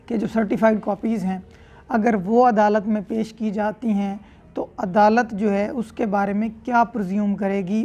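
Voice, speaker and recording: A woman's voice: medium pitch, warm tone. A woman with a Pakistani accent lecturing, reading out formal clauses and explaining them in a didactic way, rephrasing each point. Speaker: law lecturer.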